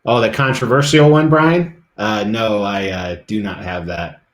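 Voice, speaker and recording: A man's voice: lowish voice, measured pace, roomy sound. A middle-aged man live streaming, chatting casually and rambling to his viewers.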